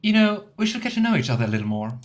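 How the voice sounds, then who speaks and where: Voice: mid-range voice, sing-song, racing. A let's player giving casual, musing commentary on a game and reading dialogue options aloud. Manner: musing, chatty asides.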